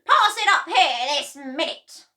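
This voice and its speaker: A woman voicing a bossy, enormous old grandmother who shouts shrilly in a storybook reading. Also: sing-song, slow, distant mic, heavily acted. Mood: accusing. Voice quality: shrill, bellowing.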